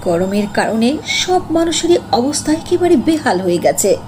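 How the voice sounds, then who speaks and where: A woman's voice: a little high, big pitch swings, moderate pace, a little mellow, a little hiss or hum. A woman giving scripted fairy tale narration for a cartoon.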